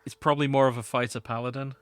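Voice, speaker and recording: A man's voice: medium pitch, flat delivery, racing, a crowd in the background. Man chatting with dry delivery. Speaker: podcast co-host. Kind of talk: casual comedic chat.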